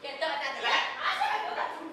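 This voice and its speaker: Fierce village mother, played by a woman on stage, shrill and quick; distant mic, hall echo and noise. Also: natural voice. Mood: scolding. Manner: shrill wailing and scolding. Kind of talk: live stage acting. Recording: echoey hall from far off, audience noise.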